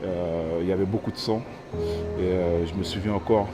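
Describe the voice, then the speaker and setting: Man in his thirties, from french guiana, hesitant personal testimony, lowish voice, music underneath. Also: fairly even intonation, moderate pace. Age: 30s. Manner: hesitant, many fillers and restarts. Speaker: interviewee telling his story.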